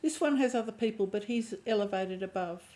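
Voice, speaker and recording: Croaky-voiced woman reading tarot cards, thinks out loud, clears her throat a lot, mid pitch. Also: a little fast. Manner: musing aloud, restarting phrases, clearing throat. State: croaky voice with a lingering cough. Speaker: tarot reader. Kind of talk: intuitive tarot reading, talking to viewers.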